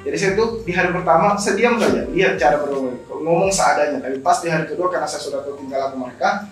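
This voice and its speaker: A very echoey recording, picked up at some distance, carries a young man's slightly high voice. He talks as a guest on an informal talk show. He explains in a conversational way, often repeating a phrase before moving on. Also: fast. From Indonesia. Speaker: guest, scholarship alumnus. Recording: very echoey room, distant mic, music behind.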